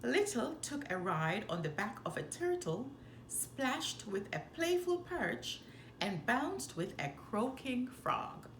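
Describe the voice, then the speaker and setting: A woman reading a children's story aloud with expressive, animated, deliberate phrasing. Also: a little high. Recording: roomy echo, faint background noise.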